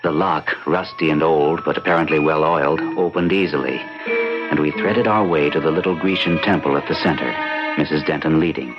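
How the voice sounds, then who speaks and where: Deep-voiced man in radio mystery. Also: a little fast, over loud music. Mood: suspenseful narration. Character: rational, concerned male guest narrating a ghost mystery. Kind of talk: old-time radio drama acting and narration. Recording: dull lo-fi radio recording.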